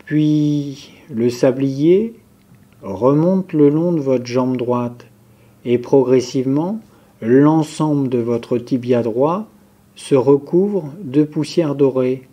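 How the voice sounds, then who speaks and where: Male meditation guide: mid-pitched, dark relaxed tone, unhurried, calm instructional delivery. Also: highs cut off. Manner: calm, measured, instructive, listing step by step. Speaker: yoga nidra instructor.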